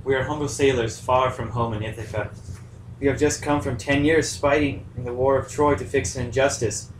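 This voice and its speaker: A man playing a brave, diplomatic rabbit sea captain with a measured, courteous way of talking, in an amateur puppet story. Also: medium pitch, steady tone, lightly acted. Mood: courteous pleading. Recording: echoey room, far mic, faint noise.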